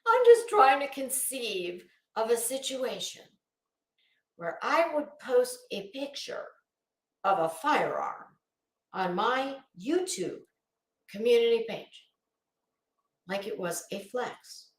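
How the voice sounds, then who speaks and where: Slow, high-voiced woman in an echoey room. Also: very animated. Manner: blunt, sweary, emphatic. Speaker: host of a live commentary channel. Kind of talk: casual livestream rant, chatting to viewers. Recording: echoey, roomy sound.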